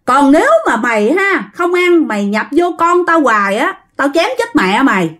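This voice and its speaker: A woman's voice: high voice, sing-song, normal speed, a bit bright. A woman storyteller voicing a stubborn rural mother facing a spirit over her son, earthy and emphatic, with a Southern Vietnamese accent. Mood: defiant, cursing. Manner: emphatic, earthy, repeats phrases.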